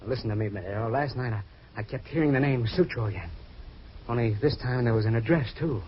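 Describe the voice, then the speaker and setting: A man's voice: mid-range voice, animated, fast talker, dull audio, slight background noise, lightly acted. An actor playing a haunted, nervous retired American man in a 1940s radio drama, hesitant and pleading, on an old recording. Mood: insistent, agitated. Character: anxious, haunted retired male client, softening ex-fighter.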